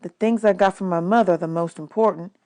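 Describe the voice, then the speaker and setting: A woman's voice: fairly low pitch, quick pace, dark tone, dry recording, clean studio sound, natural voice. Woman reading as an earnest young boy. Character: earnest, polite orphan boy, about ten.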